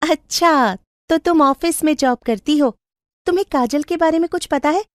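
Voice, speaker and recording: A woman voicing a rich, warm-hearted, bossy young woman in storybook cartoon dialogue, animated and affectionate. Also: high-pitched, normal speed, no room sound, studio quality, voice pushed up, lightly acted. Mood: friendly, chatty.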